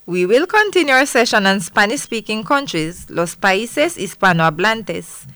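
A woman reads the aims of a classroom lesson at a slow pace. Her voice sits mid-range and the recording is dry. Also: mid-range voice, sing-song, a bit bright, no room sound.

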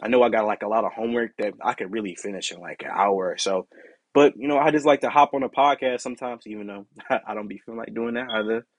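Raspy young man, dry close sound. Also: fast, no echo. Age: young adult. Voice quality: deep and raspy. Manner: candid, rambling, full of "you know" and "like". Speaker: podcast host. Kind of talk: casual solo podcast monologue.

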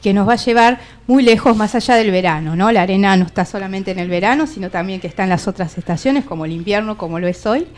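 A woman's voice: medium pitch, quick pace, hardly any pauses, darkish tone. A woman with an Argentine accent, presenting live as a theatre director in a mock-ceremonial, playfully formal way. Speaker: radio theatre director introducing the cast.

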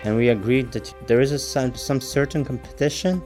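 A man hosting an interview podcast, speaking in a halting way and often restarting his phrases. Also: mid-range voice, a little mellow, faint music behind. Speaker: podcast host.

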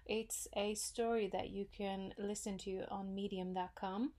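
A woman with a Norwegian accent hosts a solo podcast into a microphone. She speaks slowly at a mid pitch, musing over her ideas with frequent pauses and small fillers. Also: flat delivery, warm tone. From Norway. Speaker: podcast host. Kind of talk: solo reflective podcast talk.

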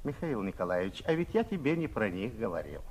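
An actor playing a spiteful, wheedling old neighbour who needles and flatters in a 1960s Soviet radio play. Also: male voice, on the low side, brisk, breathy edge. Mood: sly, taunting. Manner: ingratiating, needling, exclamatory. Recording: muffled 1967 radio theatre recording.

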